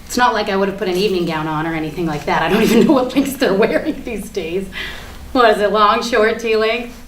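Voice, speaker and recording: A woman's voice: medium pitch, recorded from a distance, close to an everyday voice. A woman as a rambling, defiant suspect, echoey room. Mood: rambling, self-conscious. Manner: chatty, sarcastic, halting, breaks into nervous laughs. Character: rambling, defiant, foul-mouthed woman caught for wrongdoing, under questioning. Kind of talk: dramatic monologue in a staged reading. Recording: echoey room with faint background noise.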